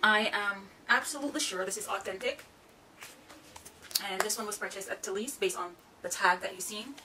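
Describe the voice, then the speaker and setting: A Jamaican woman in her thirties, chatting excitedly as she shows off thrifted bags and shoes while sitting on the floor of a small room. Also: medium pitch, moderate pace, recorded from a distance, background noise. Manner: chatty, excitable, giggly asides. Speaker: fashion vlogger. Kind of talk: casual thrift haul vlog. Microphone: camera mic from a few feet away.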